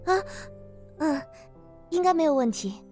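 A woman's voice: high voice, lively intonation, unhurried, music in the background. Hesitant, wistful young office worker played by a woman. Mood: polite, hesitant. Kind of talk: Chinese audio drama acting.

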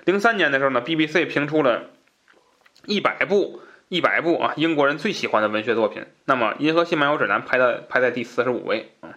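A man's voice: mid-range voice, lively intonation, quick pace, fairly bright tone, muffled recording. A man hosting a podcast, explaining a book in an informal, conversational way, with asides and repeated phrases for emphasis. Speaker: podcast host.

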